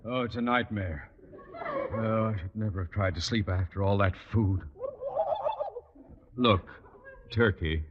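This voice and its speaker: A man's voice: lowish voice, measured pace, long pauses, muffled recording, close to an everyday voice. Man acting a blustering, pompous father in noisy old radio. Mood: groggy dread. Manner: pompous, theatrical, exasperated. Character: blustering, easily panicked, pompous middle-aged father and boss. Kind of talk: 1940s radio comedy acting. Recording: noisy old radio recording, hall reverb.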